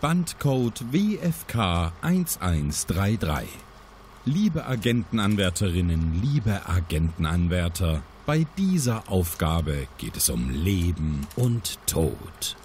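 Man acting as formal briefing announcer, low-pitched, solemn, audio-drama tape, background noise. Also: big pitch swings, slow pace, a little mellow, heavily acted. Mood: solemn, official.

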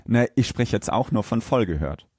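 A man bantering casually as a podcast co-host, informal and quick to jump in with questions. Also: lowish voice, lively intonation, a little mellow, breathy edge, no echo, studio quality.

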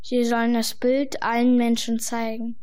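A woman's voice: a little high, completely flat delivery, normal speed, darkish tone, clear, resonant tone, natural voice. A woman playing a scheming royal courtier in a children's fairy-tale radio play. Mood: plotting.

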